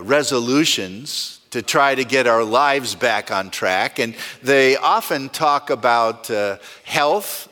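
A man's voice: mid-range voice, fairly bright tone. A male pastor preaching a sermon in a conversational way, asking the congregation rhetorical questions.

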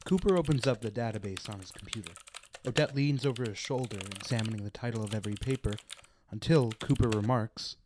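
A man's voice: fairly low pitch, fast, darkish tone, a little hiss or hum. A man reading scripted narration for a radio play.

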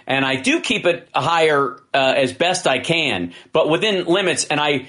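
A man filling in as host of a political talk radio show, talking fast and energetically with fillers and restarts. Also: fairly high pitch, very animated, bright, forceful tone.

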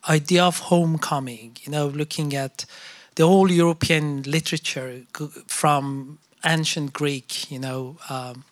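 Halting, thoughtful panel speaker. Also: medium pitch, steady tone, no room sound. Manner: halting, many fillers and restarts, thoughtful. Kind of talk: reflective discussion.

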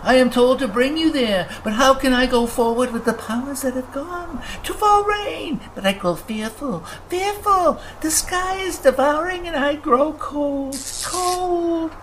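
A man's voice: very high voice, a little slow, few pauses, a little hiss or hum, pitched above the performer's own voice, low-quality recording. A man voicing a fearful, hysterical floating creature that repeats its own words in a wavering voice. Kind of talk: audiobook character voice.

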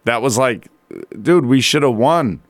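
A man with a slightly rough, strained voice hosting a sports talk radio show in a casual, rambling, conversational way. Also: medium pitch, a little slow, dry recording. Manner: colloquial, rambling, conversational asides.

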